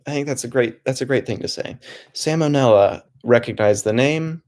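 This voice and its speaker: A young man hosting a relaxed livestream from his home desk, talking softly in a calm, rambling way with many restarts. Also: medium pitch, normal speed. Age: young adult. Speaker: livestream host.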